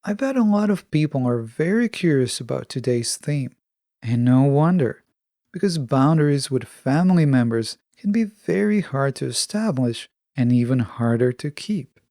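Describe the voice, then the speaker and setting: A man hosting a self-help podcast, delivering a scripted monologue in a measured, instructive manner. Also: mid-range voice, animated, dark tone, no echo, studio quality. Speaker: podcast host.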